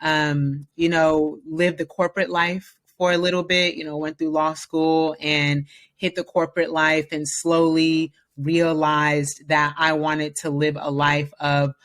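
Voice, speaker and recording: A woman's voice: deep voice, flat delivery, measured pace, a bit bright. A woman in her thirties from the Bay Area, talking as a guest in a casual interview, upbeat and confident with plenty of fillers. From San Francisco Bay Area, US. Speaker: podcast guest.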